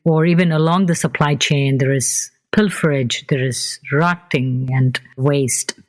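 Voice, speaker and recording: A woman's voice: low-pitched, a little slow, a little mellow. A woman hosting a podcast, asking questions in an inquisitive, affirming way. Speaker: podcast host. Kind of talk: interviewing guest.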